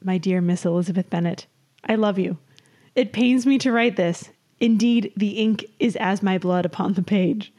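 A woman reading as a morose, haughty young Regency gentleman with formal period diction in a comic story. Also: mid-range voice, normal speed, close to an everyday voice. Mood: haughty, declaring.

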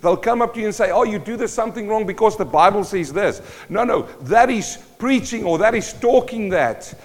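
A man's voice: sits high, expressive, a little fast. A South African-born man preaching a sermon, emphatic and full of rhetorical questions to the congregation. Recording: long hall reverb.

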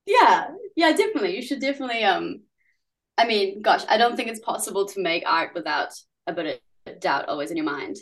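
A woman's voice: medium pitch, sing-song, a little fast. A young woman from New Zealand, a musician guest chatting casually in an interview, full of "like" fillers and giggles.

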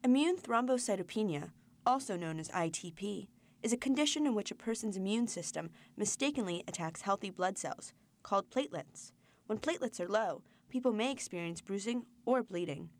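A woman narrating a sponsored report in a calm, measured voice. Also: mid-range voice. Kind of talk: scripted ad narration.

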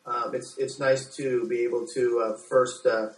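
A middle-aged American man answering interview questions as a doctor, speaking haltingly with repeated words. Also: mid-range voice, level tone. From United States. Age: 40s. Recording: echoey room, distant from microphone.

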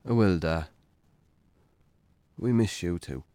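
An actor playing a troubled, dry-witted Irish farmer in a naturalistic radio drama, understated in manner. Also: male voice, low voice, animated, slow pace, noisy background. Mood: subdued.

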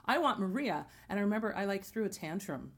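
A woman's voice: mid-range voice, animated, fast talker. An American woman, a theater singer and podcast guest, chatting casually and acting out bits of dialogue as she tells her story. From born in Jamaica, grew up in New Jersey.

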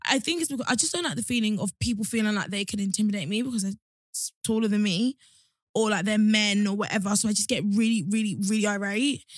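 A woman in her twenties with a hoarse throat, co-hosting a studio podcast, blunt and slangy with a lot of swearing. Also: medium pitch, fast, no room sound. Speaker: podcast co-host. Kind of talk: casual chat. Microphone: studio mic.

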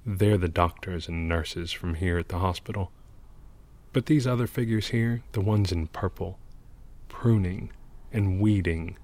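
A man's voice: deep voice, a little mellow. A man playing a gloomy, cynical old mural painter in an audio drama, speaking in a sardonic, world-weary manner. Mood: weary explaining. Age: older.

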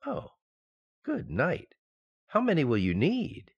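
An actor playing a flustered, easily shocked husband and father-to-be in a radio sitcom, excitable and dithering. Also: fairly high pitch, takes it slowly, slightly rough, highs cut off, exaggerated voice. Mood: stunned. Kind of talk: old-time radio sitcom acting.

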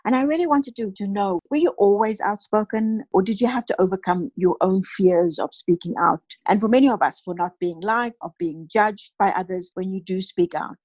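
A woman's voice: mid-range voice, normal speed, hardly any pauses, darkish tone, muffled recording. A woman hosting a podcast, reading a scripted introduction and then asking conversational questions. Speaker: podcast host. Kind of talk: podcast interviewing.

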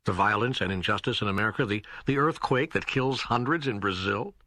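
Earnest troubled seeker, raspy, sharp-edged, questioning, audiobook dialogue. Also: male voice, lowish voice, a little fast, no echo. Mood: incredulous. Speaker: audiobook narrator. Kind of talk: scripted audiobook dialogue reading.

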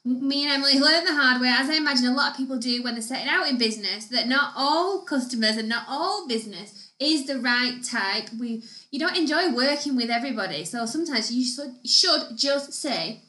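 A woman co-hosting a podcast, talking in a high, bright voice. She explains things in a friendly way with a dry, teasing edge, and the room gives her voice an echo. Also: expressive, fairly quick. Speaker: podcast co-host. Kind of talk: casual chat.

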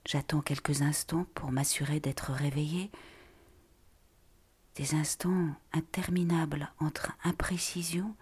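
A narrator reads poetic prose in a hushed, deliberate, breathy voice that often drops to a near whisper. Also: mid-range voice. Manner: slow, hushed, deliberate delivery. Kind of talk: literary reading of poetic prose.

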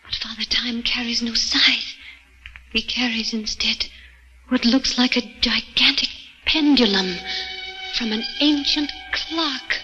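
A devoted, anxious phantom sweetheart in 1940s radio style. Her voice is bright and forceful, on a dull old broadcast with faint music underneath. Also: fairly high pitch, steady tone, takes it slowly, husky, full character voice. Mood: soothing, uneasy. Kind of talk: 1940s radio drama acting.

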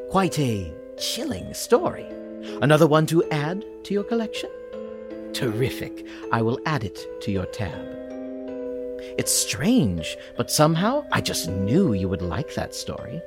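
A man's voice: mid-range voice, wildly swooping pitch, measured pace. A man playing a sly, creepy bookkeeper who hosts horror tales with insinuating theatrical delivery. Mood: sly, teasing. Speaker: horror series host. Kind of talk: theatrical audio drama acting. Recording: music clearly behind the voice.

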